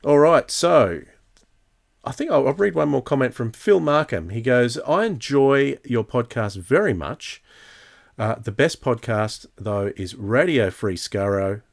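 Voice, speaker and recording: A man's voice: medium pitch, animated, unhurried, vocal fry. A man hosting a podcast, chatting casually and thinking aloud as he goes. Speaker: podcast host.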